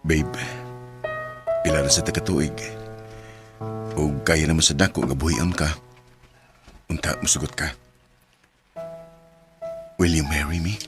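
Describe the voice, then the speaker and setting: A man's voice: extremely low pitch, steady tone, a little slow, pauses often, over music. An actor playing an earnest, lovestruck young man in a radio drama. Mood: earnest, pleading.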